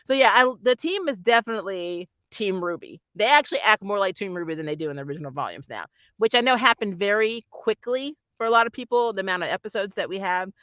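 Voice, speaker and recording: Giggly reviewer chatting like a fan, mid pitched voice, animated, really dry sound. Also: quick pace, clear, resonant tone, dry recording. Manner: animated, giggly, acts out characters' lines. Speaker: reviewer and channel host. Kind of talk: casual fan review commentary.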